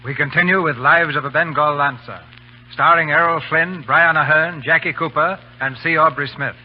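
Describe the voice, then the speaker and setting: A man's voice: fairly high pitch, fairly even intonation, moderate pace. A man hosting an old-time radio drama, narrating formally from a script. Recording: dull old radio recording. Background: music.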